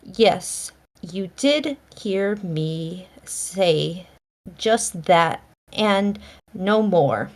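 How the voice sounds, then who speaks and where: A woman reading the part of a stern, reserved middle-aged spinster from a period novel, in a halting manner. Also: lowish voice, lively intonation, a little mellow. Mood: stern reproof. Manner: halting, severe wording.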